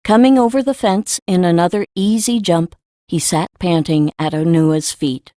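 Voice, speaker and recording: A woman's voice: fairly low pitch, fairly even intonation, moderate pace, dark tone, no room sound, clean studio sound. A woman reading scripted story narration for an audiobook.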